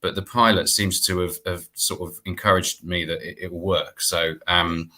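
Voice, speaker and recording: Deep, bright-voiced British man. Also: fairly even intonation, normal speed. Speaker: guest, theatre producer. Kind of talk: earnest conversational explaining. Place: indoor room, video call.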